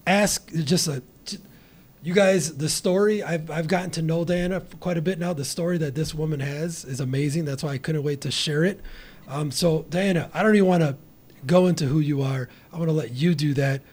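A male podcast host with a husky voice that sits a little high for a man. He talks in an excitable, rambling way, often breaking off and restarting his sentences. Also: a little fast. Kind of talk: casual interview.

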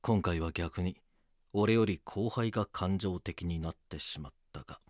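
An actor playing a grave, weary police detective, narrating a case in the first person with serious, measured delivery. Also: male voice, low voice, a little mellow, no echo, clean studio sound.

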